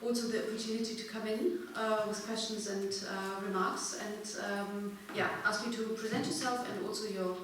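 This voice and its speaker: A woman's voice: mid-range voice, fairly even intonation, moderate pace, few pauses. Distant woman moderating in an echoey hall. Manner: hesitant, with fillers. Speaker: panel moderator. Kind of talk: moderating a panel discussion. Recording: echoey hall from far off, faint noise.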